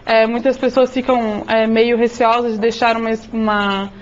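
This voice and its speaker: A woman explaining rules and advice at a seminar, steady, with occasional fillers. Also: fairly high pitch, normal speed. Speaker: seminar panelist. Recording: dull lo-fi sound.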